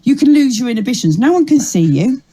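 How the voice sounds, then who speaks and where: A middle-aged woman comedian with a rough, ragged voice, chatting animatedly in an interview. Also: high voice. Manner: chatty, animated storytelling with quick asides. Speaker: comedian guest. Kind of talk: casual interview chat.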